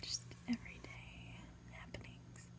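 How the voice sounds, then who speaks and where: A woman hosting ASMR, whispering gently as she reads a book aloud with casual asides. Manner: gentle, unhurried, soft-spoken. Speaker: ASMR host.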